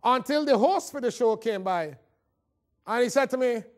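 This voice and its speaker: A man's voice: very high voice, lively intonation, moderate pace. A man with a Caribbean accent giving a motivational talk, telling humorous personal stories in a theatrical, conversational way. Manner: theatrical storytelling, acting out dialogue. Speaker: motivational speaker.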